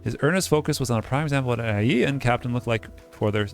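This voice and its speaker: Guy reading visual novel text out loud, fast, sardonic little asides, faint music under him. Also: medium pitch, animated. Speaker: host of a game playthrough. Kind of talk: reading game text aloud with casual commentary.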